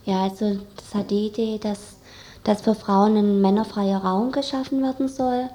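A woman's voice: medium pitch, fairly even intonation, normal speed, mellow. A young woman giving casual explanations as a guest in an interview. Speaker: interview guest, student activist.

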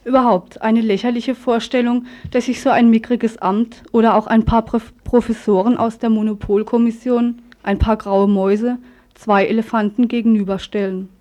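A woman's voice: on the high side, level tone, moderate pace, dark tone. A woman reading scripted radio news and commentary as a presenter, with a pointed, ironic delivery.

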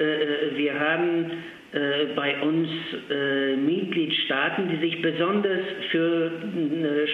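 Man, halting and full of uhs, tinny phone line, heavy echo. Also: a little high. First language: Polish. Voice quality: halting, many filled pauses. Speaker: interview guest, language centre official. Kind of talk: phone interview. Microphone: telephone.

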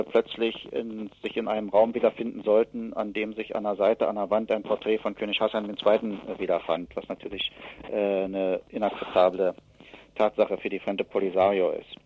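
A man giving spontaneous political analysis as an expert interview guest, speaking haltingly with many filled pauses and rephrasings. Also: fairly low pitch, flat delivery. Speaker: expert guest from an advocacy association. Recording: dull, lo-fi radio interview sound.

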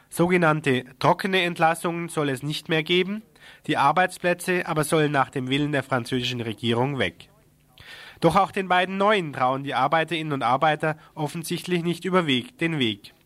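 A man reads a scripted radio news report with a pointed, ironic edge. His voice sits fairly high for a man. The sound is dry and close, with no room echo. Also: a bit bright. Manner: critical, ironic commentary in the news copy.

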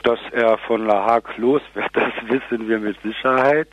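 A man speaking casually in an interview, in halting, colloquial phrases. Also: medium pitch, fairly even intonation, highs cut off. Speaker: interviewee, anti-nuclear activist.

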